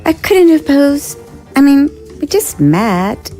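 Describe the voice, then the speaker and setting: Woman doing a wide-eyed alien girl, slow and lively, highish voice, faint music under. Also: full character voice. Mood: hesitant. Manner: wondering, hesitant, animated. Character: eager young alien woman, shy and curious. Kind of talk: audio drama acting.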